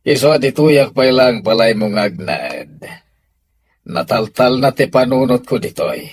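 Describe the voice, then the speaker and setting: A weary middle-aged father in a Filipino radio drama. He talks slowly, with wide swings in pitch and a Filipino accent. His manner is caring and tired. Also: animated, breathy edge.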